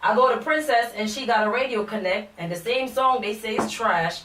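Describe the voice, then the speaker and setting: A woman's voice: on the high side, fairly quick, a bit bright, distant mic. A young woman co-hosting a music talk show in a small radio studio, talking bluntly and animatedly with frequent laughs. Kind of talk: casual studio chat. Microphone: desk microphone. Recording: echoey room, a bit off mic.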